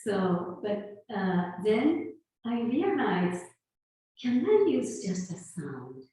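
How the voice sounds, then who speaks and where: Slow Japanese-accented woman in her fifties. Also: mid-range voice, big pitch swings. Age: 50s. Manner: unhurried, halting, soft laughs between thoughts. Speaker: guest, sound healer. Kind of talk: conversational interview. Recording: roomy echo, far from mic.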